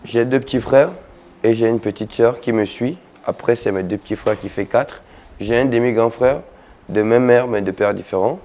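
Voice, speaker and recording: A young man from Togo, a rapper being interviewed, answering with halting pauses and fillers. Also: mid-range voice, mellow. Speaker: guest rapper.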